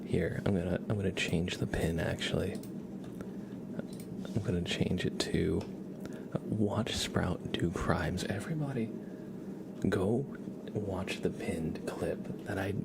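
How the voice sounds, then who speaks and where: A man hosting a live ASMR stream, chatting casually with viewers in a soft, half-whispered, amused way, close to the microphone. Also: sits low, takes it slowly, creaky, pauses often, heavy background noise.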